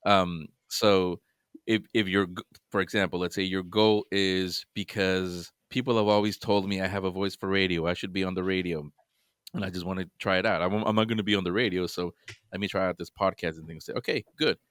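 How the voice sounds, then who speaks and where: A man's voice: low-pitched, steady tone, brisk, fairly bright tone, clean tone, dry recording, clean studio sound. A man guesting on a podcast, giving earnest advice in casual chat, often restarting his sentences.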